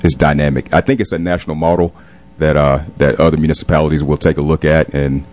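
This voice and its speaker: A middle-aged man speaking as a guest in a casual radio interview, explaining things in an earnest, measured way. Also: rumbling low, little pitch movement, darkish tone. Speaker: interview guest, police captain and community leader.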